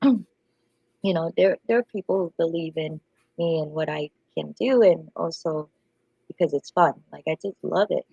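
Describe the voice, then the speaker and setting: A woman's voice: sits low, measured pace, vocal fry, warm tone, slightly rough, no room sound, highs cut off. A woman from Asia, a native Vietnamese speaker, chatting casually as a podcast guest, her voice off from a lingering illness. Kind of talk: casual chat.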